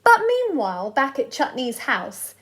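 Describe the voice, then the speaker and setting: A woman reading aloud to children as a storyteller, in an expressive style. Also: sits high. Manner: deliberate, sing-song delivery of rhyming verse.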